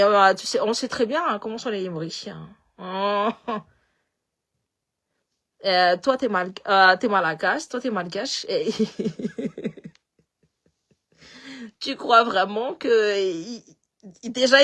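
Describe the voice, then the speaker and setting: A woman with a slight Malagasy accent talking in a casual, animated way, repeating words as she goes and switching between languages. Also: medium pitch, takes it slowly, pauses often. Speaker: political commentator. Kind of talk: casual live talk.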